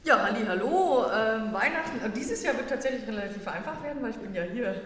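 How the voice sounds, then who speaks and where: A woman's voice: a little high, lively intonation, brisk. A woman with a Berlin accent, a sommelier, talking in a thoughtful casual way with many fillers and easy laughs. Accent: Berlin German. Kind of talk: casual chat. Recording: echoey room with long reverb.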